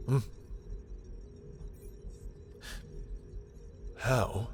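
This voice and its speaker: A man playing a lovestruck, bitter villain in an intimate audio roleplay, speaking in a halting, emotional way. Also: faint music behind, close to an everyday voice. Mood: guarded, flustered. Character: lovestruck, bitter male villain confessing to a rival.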